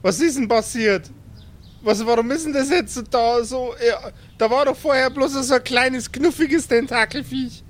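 A man's voice: very high voice, moderate pace, pitched above the performer's own voice. A man voicing a worried, scolding mother who stammers with indignation in an improvised audio play. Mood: indignant.

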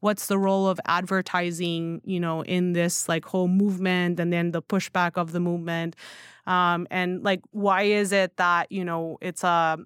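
A woman's voice: fairly low pitch, little pitch movement, moderate pace, clean tone, dry recording, studio quality. A woman speaking as a podcast guest in casual, opinionated chat, rambling informally with lots of filler phrases. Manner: informal, rambling, peppered with "like" and "you know". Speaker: podcast guest, newsletter writer.

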